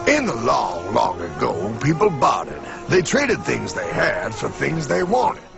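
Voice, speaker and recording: A blunt, streetwise cartoon guide, played by a man who lectures in a gravelly voice that swings widely up and down. He keeps calling people pal, and music plays underneath. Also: mid-range voice, wildly swooping pitch, a little slow, highs cut off, heavily acted. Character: gruff streetwise male guide explaining money. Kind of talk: animated cartoon voice acting.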